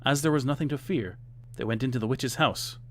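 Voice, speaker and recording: A man's voice: medium pitch, fairly quick, slight background noise. A man reading a fairytale aloud as scripted storybook narration.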